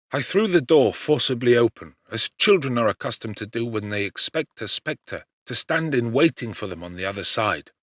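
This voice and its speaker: A man reading literary narration as an audiobook actor, in an expressive, measured and theatrical manner. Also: fairly low pitch, no echo, clean studio sound.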